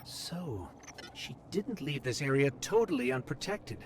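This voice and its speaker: A man's voice: mid-range voice, lively intonation, unhurried, noisy background, natural voice. A man voicing an earnest robot companion. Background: music.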